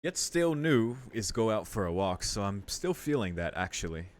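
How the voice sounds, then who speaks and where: Low-voiced young man doing casual game commentary. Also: very animated, moderate pace, slight background noise. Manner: informal, musing, thinking aloud. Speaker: video game streamer. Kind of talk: casual playthrough commentary mixed with reading game text aloud.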